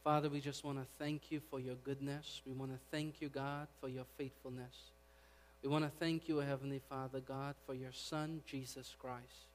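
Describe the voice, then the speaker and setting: A man from Guyana with a Guyanese accent, preaching a sermon to his congregation and swinging between quiet prayer and loud, emphatic preaching. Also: mid-range voice, flat delivery, moderate pace, slight background noise. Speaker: pastor.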